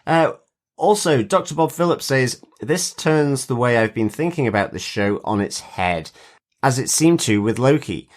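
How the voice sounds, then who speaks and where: A man's voice: medium pitch, lively intonation, normal speed. A man co-hosting a podcast, chatting agreeably and casually about TV and reading out listener feedback. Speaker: podcast co-host.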